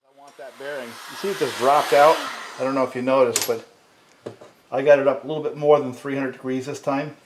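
A middle-aged man casually explaining repair steps at his workbench, in a relaxed, folksy way while handling tools. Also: medium pitch, a little fast. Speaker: small engine repair channel host. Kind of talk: casual workshop demonstration. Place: cluttered home workshop at a workbench. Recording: echoey workshop with loud tool noise.

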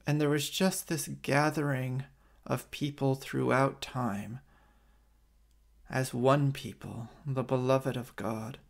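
Gravelly-voiced American priest, measured. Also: male voice, mid-range voice, fairly even intonation. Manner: slow, measured liturgical reading. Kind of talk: reflective homily and night prayer. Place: living room at home, indoors at night. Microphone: webcam on a video stream.

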